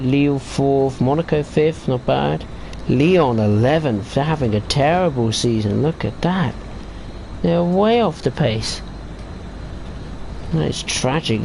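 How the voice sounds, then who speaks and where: A man's voice: medium pitch, creaky, darkish tone, slight background noise, poor audio. A man casually chatting and rambling while streaming a train simulator game. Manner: chatty, rambling about football tables while driving. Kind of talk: casual gameplay commentary.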